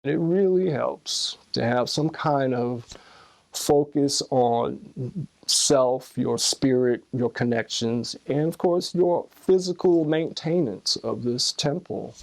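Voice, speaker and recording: A man talks reflectively in an interview at a slow, measured pace. His voice is creaky and of medium pitch.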